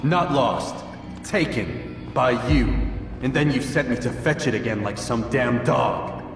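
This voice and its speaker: An actor playing a grave, blunt young assassin in a video game, with a stern, terse, challenging delivery. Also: male voice, medium pitch, animated, measured pace. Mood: resentful, demanding. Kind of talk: dramatic video game acting. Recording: distant, very echoey hall, music behind.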